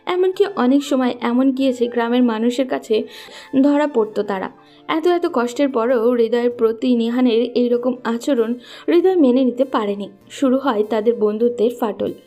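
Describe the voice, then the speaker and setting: A woman narrates a scripted story in a fairly high, very mellow voice. Soft music plays underneath her narration. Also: moderate pace.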